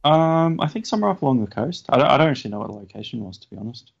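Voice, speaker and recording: A man co-hosting a casual podcast, talking hesitantly with many fillers and a self-deprecating manner, feeling low on energy. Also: animated, racing, creaky. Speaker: podcast co-host. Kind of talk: casual chat. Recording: dull lo-fi sound.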